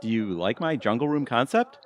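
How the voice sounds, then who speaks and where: A man's voice: mid-range voice, expressive, a little fast, natural voice. A man playing an eager, boyishly proud middle-aged husband in a comedic audio play. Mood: eager, pleased.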